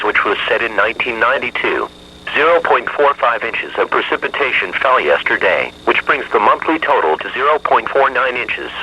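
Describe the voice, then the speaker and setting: A synthetic male voice reading an automated weather radio bulletin in a flat, evenly measured delivery. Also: mid-range voice, slightly rough, lo-fi sound.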